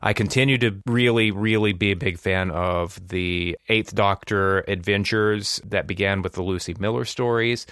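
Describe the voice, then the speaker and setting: A man with a fairly low voice hosts a conversational podcast. The recording is dry, with very little room sound around him. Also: normal speed, few pauses, fairly bright tone, clear, resonant tone, dry recording. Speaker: podcast host.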